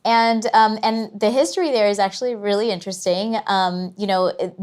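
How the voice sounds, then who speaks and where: Casting: female guest in her 30s, mid-range voice, warm explainer, chatty. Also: mid-range voice, normal speed. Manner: friendly, explanatory, frequent laughs and fillers. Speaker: museum curator guest. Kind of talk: casual interview chat.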